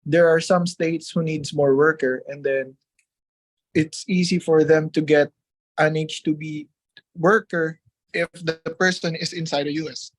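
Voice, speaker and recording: A man's voice: on the high side, steady tone, unhurried. A man from the Philippines with a Filipino accent and Tagalog as his native language, speaking politely as a guest in a testimonial interview.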